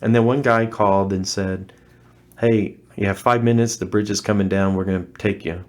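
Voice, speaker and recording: Low-voiced man telling his story. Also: fairly even intonation, normal speed. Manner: earnest, recounting events with many restarts. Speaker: interview guest, former missionary. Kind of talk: casual storytelling interview.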